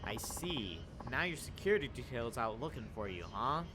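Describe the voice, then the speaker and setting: A man's voice: medium pitch, big pitch swings, a little fast, background noise, close to an everyday voice. A man reading aloud the lines of a stoic middle-aged male ex-yakuza taxi driver, with dry, wry asides, over game music.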